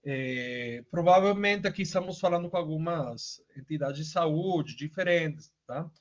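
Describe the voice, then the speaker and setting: A man's voice: a little high, unhurried. Venezuelan-accented male presenter, casual and chatty with lots of fillers, dull lo-fi call audio. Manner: casual, many fillers and tag questions. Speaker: webinar presenter. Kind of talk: online webinar presentation. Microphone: video call. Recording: muffled lo-fi audio.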